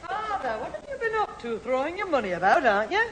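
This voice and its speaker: Nagging middle-aged mum, high-pitched, British radio sitcom, long echo and audience. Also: female voice, big pitch swings, fast talker, a faint crowd. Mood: indignant. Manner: indignant, nagging. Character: scolding, indignant middle-aged mother. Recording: reverberant old radio recording, audience, faint hiss.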